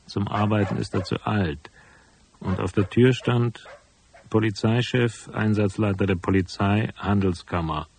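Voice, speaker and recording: An actor playing a cool, laconic private investigator who narrates his case in a radio drama, with a husky voice. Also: fairly low pitch, measured pace, a little mellow, slight background noise. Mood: cool, brooding. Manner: laconic, matter-of-fact.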